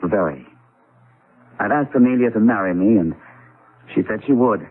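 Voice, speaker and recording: Devoted suitor, earnest, husky, radio drama acting, narrow phone-like broadcast sound. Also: male voice, on the low side, lively intonation, moderate pace. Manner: earnest, sincere.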